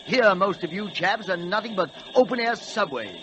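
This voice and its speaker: A man's voice: sits high, animated, moderate pace, dull audio. A man playing a pompous, peevish British express train with an upper-class English accent, in a 1940s radio play. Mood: disdainful. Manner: pompous, fussy, drawling complaints. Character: snobbish British royal express train, haughty, peevish, bored. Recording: noisy old 1940s radio broadcast.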